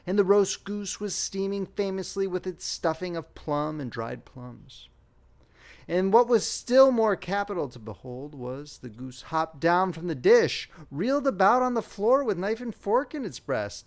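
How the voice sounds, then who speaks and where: A man narrating a fairy tale as an audiobook reader, in an expressive, theatrical storytelling manner. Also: high voice.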